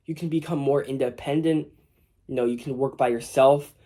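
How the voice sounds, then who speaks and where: A man's voice: mid-range voice, fairly even intonation, moderate pace, mellow. A young man in his late teens with a mild cold, giving casual, rambling self-improvement advice to his viewers. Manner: informal, loose and rambling, full of "you know". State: slightly sick with a mild cold. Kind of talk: casual advice vlog to camera.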